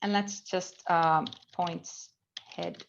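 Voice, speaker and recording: A woman's voice: fairly low pitch, steady tone, drawn-out words, creaky, lo-fi sound, slight background noise. A woman in her thirties with a Finnish accent, a university lecturer teaching a live coding lesson, thinking aloud with frequent fillers and trailing off as she reads code. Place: indoor room at a computer.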